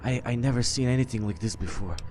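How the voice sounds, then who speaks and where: A man improvising in character in a video game roleplay, speaking in a halting, hesitant way. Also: mid-range voice. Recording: game music behind the voice.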